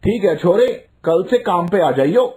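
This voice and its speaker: Man acting a gruff Haryanvi uncle. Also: high voice, animated, moderate pace, darkish tone. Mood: brusque, bargaining. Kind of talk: Hindi cartoon voice acting.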